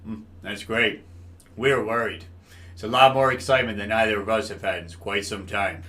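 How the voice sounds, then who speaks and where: An actor playing a genial middle-aged military consultant in an audio drama, speaking politely and haltingly with many hesitations. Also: male voice, on the low side, fairly even intonation, bright, forceful tone. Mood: friendly, relieved. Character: genial older military engineering consultant, hesitant, empty-nest husband.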